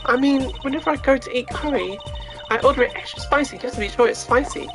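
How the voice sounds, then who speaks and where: A woman puts on a bubbly teenage girl from a video game, pitched high up. She is eager, chirpy and easily excited, with music playing behind her. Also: fast talker, close to an everyday voice. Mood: playful.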